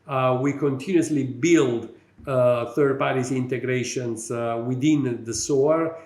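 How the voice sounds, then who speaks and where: A middle-aged man from Italy with an Italian accent, a company executive giving a prepared conference talk, halting with many fillers. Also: mid-range voice, steady tone, a little mellow, echoey room.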